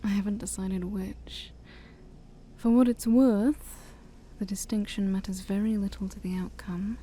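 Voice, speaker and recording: A woman playing an ancient demon princess, haughty yet shy, in a soft-spoken ASMR roleplay that often drops to a whisper. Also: medium pitch, slight background noise, close to an everyday voice. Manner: formal, teasing, hesitant, sighing.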